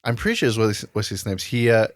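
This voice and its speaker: Man, low voice, podcast co-host, conversational explainer, close dry sound. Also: expressive, quick pace, no echo. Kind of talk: casual podcast discussion.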